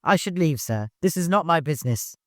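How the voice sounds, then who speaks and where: Guy doing a troubled quiet caravan guide for an audiobook, blunt, earnest, dry recording. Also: on the high side, lively intonation, brisk, no room sound, pitched above the performer's own voice, lightly acted. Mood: uneasy. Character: reserved, troubled, plain-spoken working man, caravan guide.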